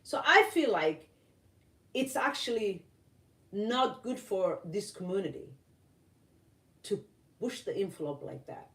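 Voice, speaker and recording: A woman from Somalia, giving earnest opinion commentary to camera, halting with many fillers and restarts. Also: mid-range voice, very animated, fairly bright tone, far from the mic. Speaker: vlogger. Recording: roomy, voice from off-mic.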